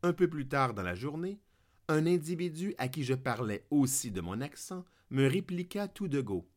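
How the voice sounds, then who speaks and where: Man, Québécois French accent, podcast host, scripted comic monologue, playful declamatory delivery, very animated pitch. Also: moderate pace. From Quebec.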